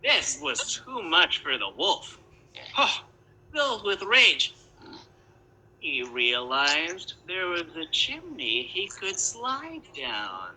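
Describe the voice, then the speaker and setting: A woman's voice: lowish voice, wildly swooping pitch, slow, sharp tone, husky, poor audio. A woman narrating a children's story in a dramatic storytelling style.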